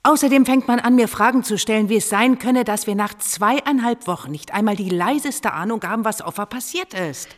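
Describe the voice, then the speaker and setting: An actress in a German radio drama playing a firm, businesslike senior police officer who speaks decisively. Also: female voice, a little high, fairly quick, hardly any pauses, big-room sound, lightly acted. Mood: pressured, insistent.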